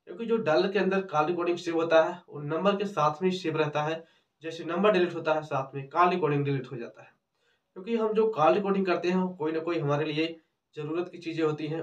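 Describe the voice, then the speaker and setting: A man with a fairly high voice presents a step-by-step tutorial, going over points repeatedly. He sounds set back from the microphone in a very echoey room. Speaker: tech tutorial host. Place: small indoor room with cloth backdrop. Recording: roomy, distant, dull microphone sound.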